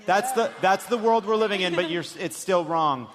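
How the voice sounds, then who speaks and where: A man's voice: high voice, moderate pace. A man hosting a live comedy panel quiz with teasing, mock-solemn patter. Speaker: comedy show host and quizmaster. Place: live stage before an audience. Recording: echoey hall, loud background noise.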